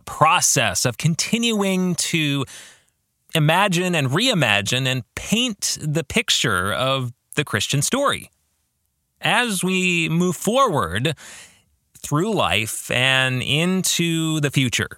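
A man hosting a reflective solo podcast on faith, speaking in an earnest, deliberate way with emphatic stresses on key words. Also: a little high, pushed and bright, dry recording, studio quality. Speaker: podcast host and author.

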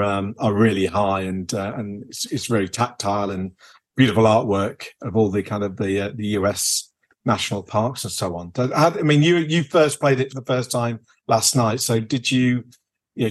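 A man in relaxed, unscripted podcast conversation as a host, often qualifying and circling back over his phrases. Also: fairly low pitch, normal speed. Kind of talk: casual unscripted chat about board games.

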